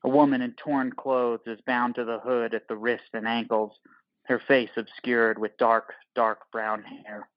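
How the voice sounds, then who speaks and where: A man's voice: mid-range voice, flat delivery, measured pace, no room sound, highs cut off. A man narrating a scripted audio drama in the present tense, with terse, clipped phrasing.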